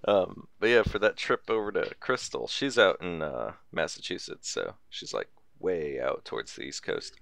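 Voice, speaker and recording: A man's voice: low-pitched, sing-song, moderate pace, gravelly, hardly any pauses, fairly bright tone. A young man co-hosting a podcast, chatting loosely, joking and swearing casually. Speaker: podcast co-host. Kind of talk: casual chat.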